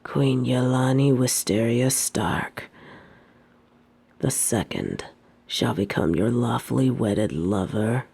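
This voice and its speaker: A woman playing an evil, possessive queen in an intimate audio roleplay, speaking formally and seductively in a breathy voice that often drops toward a whisper. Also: rumbling low, mellow, full character voice. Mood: adoring, triumphant. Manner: formal, regal, slow seductive delivery.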